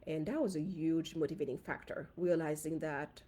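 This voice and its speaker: A woman from Haiti speaks with a Haitian accent and a slightly husky, mellow voice pitched a little low. She talks straight to her viewers in an animated, casual livestream style. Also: normal speed. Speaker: dentist and finance coach. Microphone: phone.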